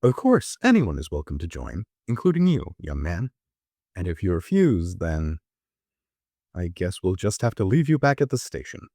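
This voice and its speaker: Man putting on a deep, theatrical train conductor. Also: wildly swooping pitch, normal speed, dark tone, dry recording, clean studio sound, heavily acted. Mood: teasing, menacing. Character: jovial, sly, theatrical male ghost-train conductor. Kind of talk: audio-drama character dialogue.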